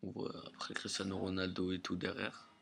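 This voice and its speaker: A man giving a casual, halting demonstration in plain words, as an amateur video presenter. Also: deep voice, monotone, vocal fry, fairly bright tone. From Portugal or Portuguese family. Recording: faint background noise, amateur recording.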